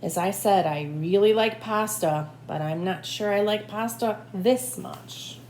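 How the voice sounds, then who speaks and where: A woman teacher reading a picture book aloud in an animated way, with asides to young listeners. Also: medium pitch, a little slow. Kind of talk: expressive children's read-aloud with asides to listeners. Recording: echoey room with faint background noise.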